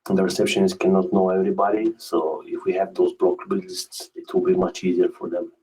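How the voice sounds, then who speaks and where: A man giving a webinar product presentation as a specialist, speaking in a hesitant, halting way and often repeating words. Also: sits low, little pitch movement, darkish tone, breathy edge, rough recording.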